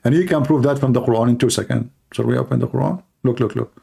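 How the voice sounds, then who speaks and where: A man who grew up in the Middle East speaks with the accent of a native Arabic speaker. His voice is dark and relaxed, at an ordinary male pitch. He talks off the cuff to live stream viewers in a blunt, direct way. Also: fast talker, creaky, husky. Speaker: live stream host, religious apologist. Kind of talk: informal live stream talk.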